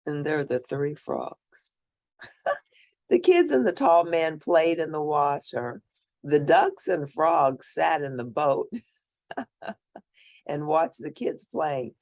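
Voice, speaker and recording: A woman storyteller reads aloud to young children from a picture book. Her voice is low with some gravel in it, and she swings widely in pitch as she tells it, animated and expressive. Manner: animated, pauses to point out pictures.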